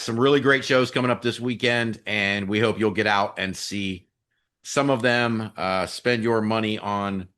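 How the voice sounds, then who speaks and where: A man's voice: lowish voice, fairly bright tone. A man co-hosting a wrestling podcast in casual, chummy chat with some mild swearing. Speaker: podcast co-host.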